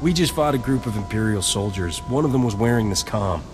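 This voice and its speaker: A man's voice: fairly low pitch, lively intonation, a little fast, music in the background, natural voice. A man playing a wry, dry but supportive roguish starship captain in a video game. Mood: businesslike. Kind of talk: video game cutscene dialogue.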